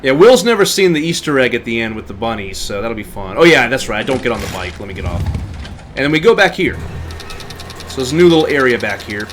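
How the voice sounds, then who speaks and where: A man's voice: mid-range voice, big pitch swings, fast talker, fairly bright tone, slight background noise. A man doing casual livestream gameplay commentary, narrating his moves and chatting to viewers. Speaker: game livestreamer.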